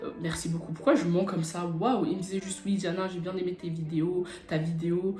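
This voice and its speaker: A young woman from France telling personal stories in a casual, animated, slangy chat as a video blogger. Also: lowish voice, a little fast. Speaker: YouTube vlogger. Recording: roomy echo, music bed behind. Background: background beat music.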